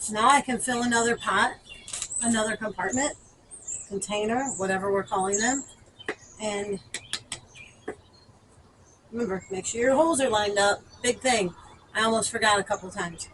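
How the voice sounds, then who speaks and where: A woman's voice: medium pitch, moderate pace, long pauses, background noise. A woman talking informally to viewers as a gardening vlogger, thinking aloud through a hands-on demonstration.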